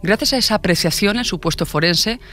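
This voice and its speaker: Male true-crime narrator, Spain accent, higher-pitched voice, fast delivery, scripted storytelling. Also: sharp tone, faint music behind. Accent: Spanish, from Spain. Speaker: podcast narrator.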